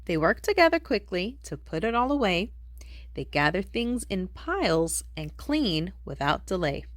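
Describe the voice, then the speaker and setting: A woman reading a rhyming children's picture book aloud in an expressive storytelling manner. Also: mid-range voice, measured pace, no echo, studio quality. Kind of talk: read-aloud storybook narration in rhyme.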